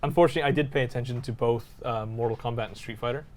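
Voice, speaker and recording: A man co-hosting a podcast, discussing films casually and telling anecdotes in an informal, animated way. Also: medium pitch, rapid-fire. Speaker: podcast co-host.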